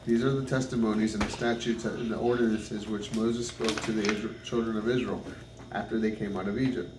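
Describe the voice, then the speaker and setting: A man reading the Bible aloud in measured phrases, occasionally stumbling over words. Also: on the low side, level tone. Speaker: scripture reader. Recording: roomy, distant mic, noisy background.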